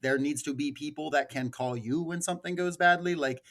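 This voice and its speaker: A man's voice: on the high side, fairly quick, a bit bright. A man hosting a podcast, talking casually with a panel. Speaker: podcast host.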